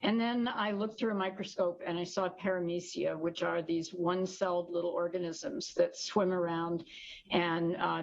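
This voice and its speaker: A woman's voice: lowish voice, lo-fi sound. A woman microbiologist telling a personal story from her office over a video call, with an easy storytelling manner. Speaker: microbiologist panelist.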